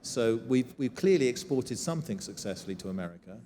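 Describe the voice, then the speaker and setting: A man interviewing a guest on a conference stage, wry and quick with quips, often restarting his sentences. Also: mid-range voice, lively intonation, dark tone, a little hiss or hum. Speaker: interviewer, moderator. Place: conference stage before an audience. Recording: reverberant conference hall.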